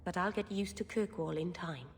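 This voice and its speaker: A woman's voice: normal speed, natural voice. Woman acting a naive, wide-eyed elf girl. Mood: wistful, friendly. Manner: guileless, wondering, chatty. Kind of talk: cinematic fantasy game acting. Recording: faint rumbling noise behind.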